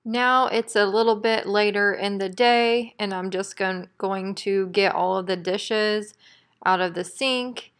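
A woman in her thirties with a creaky voice chats casually as a home cleaning vlogger. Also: mid-range voice, a bit bright. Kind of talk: casual vlog chat. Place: indoors at home.

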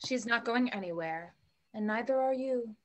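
A woman's voice: fairly high pitch, normal speed, lightly acted. A young woman reading the part of a protective, wry widowed mother in a play over a video call. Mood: defiant, threatening. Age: young adult. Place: room at home. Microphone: computer mic on video call.

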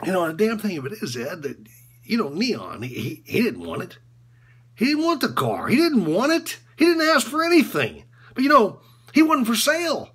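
Man playing a disillusioned college basketball coach, rough husky voice, wildly swinging pitch, sardonic and halting, dramatic film monologue. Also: bright, forceful tone, full character voice. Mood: weary reproach. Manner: halting, repetitive, sardonic, swinging from muttering to outbursts. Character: disillusioned, bitter male college basketball coach confessing corruption. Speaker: actor performing a film monologue.

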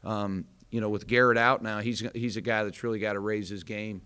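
A man's voice: on the low side, level tone, brisk, bright, forceful tone, smooth. A man in his thirties with an American accent, a head basketball coach speaking candidly at a postgame press conference, with many fillers and restarts. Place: indoor press room in front of a sponsor backdrop. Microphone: table microphone in front.

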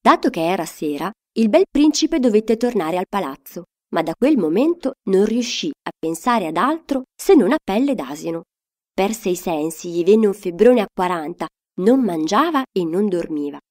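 A woman's voice: mid-range voice, sing-song, normal speed. A woman telling a fairy tale to children, reading a scripted story expressively as narrator.

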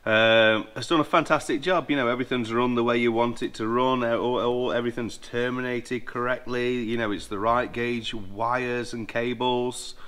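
A man's voice: mid-range voice, fairly even intonation, normal speed, hardly any pauses, fairly bright tone. A man in his forties, an aircraft maintenance engineer, chatting as he works through a job in a hangar and often restarting his sentences. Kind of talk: casual hands-on workshop walkthrough. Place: large indoor aircraft hangar.

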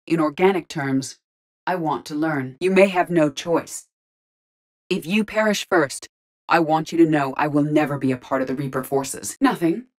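A woman acts out a female starship AI living in an android body, voiced for a sci-fi video game. Her voice is low for a woman. She speaks in measured, formal phrases, logical and composed, keeping emotion tightly restrained. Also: natural voice. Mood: calm, matter-of-fact. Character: calm, logical female ship artificial intelligence in android body. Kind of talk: scripted video game voice acting.

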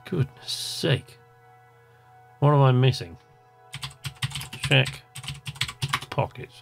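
A man's voice: mid-range voice, expressive, extremely slow, vocal fry, faint music behind. A man casually commentating on a game he plays, chatting and muttering to himself and reading on-screen text aloud. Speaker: let's play host.

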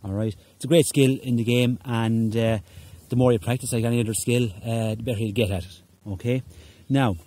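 A middle-aged man from Ireland who coaches hurling and gives a casual demonstration on a large rural lawn, talking in a relaxed way with frequent "okay?" checks and fillers. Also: mid-range voice, brisk, few pauses. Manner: relaxed, repeats "okay?" often, hesitant fillers. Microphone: phone camera at ground level.

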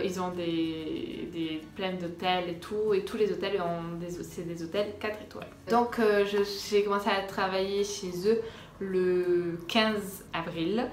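A young woman from France, chatting casually to her viewers in a hesitant storytime style, repeating words for emphasis. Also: fairly low pitch. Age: young adult. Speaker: vlogger. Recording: echoey room with faint background music.

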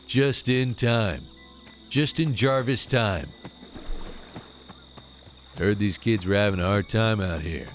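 Guy doing a low cocky action-hero voice, slow and gruff. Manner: slow, mock-tough drawl. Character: gruff heroic male rescuer, cocky.

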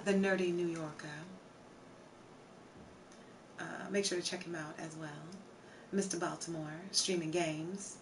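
A young woman with a hoarse, tired voice, chatting casually with her viewers on a home live stream and filling her talk with "like" and "um". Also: fairly low pitch, fairly bright tone, recorded from a distance, slight background noise. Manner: chatty, reads out chat names, many fillers. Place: home streaming room with shelves.

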